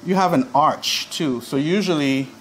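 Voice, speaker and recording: A man's voice: fairly high pitch, measured pace, a bit bright. A middle-aged man working as a chiropractor, casually instructing a patient and checking in as he goes. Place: spacious indoor treatment studio. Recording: noisy room recording.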